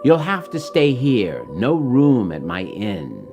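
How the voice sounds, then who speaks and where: A man's voice: mid-range voice, wildly swooping pitch, takes it slowly, a little mellow, soft background music, exaggerated voice. A man voicing a stubborn, vain innkeeper in a rhyming storybook, curt and scornful. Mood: smug, dismissive.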